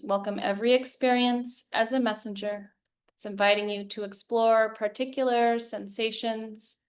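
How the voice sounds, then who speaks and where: A woman leading a guided meditation as a yoga teacher, speaking in calm, measured, soothing phrases with long pauses. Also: medium pitch.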